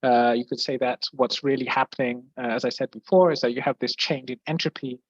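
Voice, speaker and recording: A young man from Brazil with an English accent, a guest physicist answering questions on a video call, hesitant with many fillers and restarts and frequent throat clearing. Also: medium pitch, steady tone, brisk, no room sound, muffled recording. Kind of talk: explaining physics in a Q&A.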